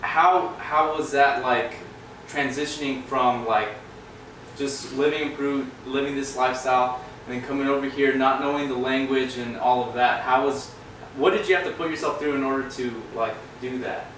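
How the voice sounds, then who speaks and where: A man's voice: medium pitch, moderate pace, far from the mic. Laid-back guy cohosting a podcast, mid voice, echoey and noisy room. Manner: relaxed, encouraging, frequent backchannels. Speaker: podcast co-host. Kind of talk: casual podcast chat.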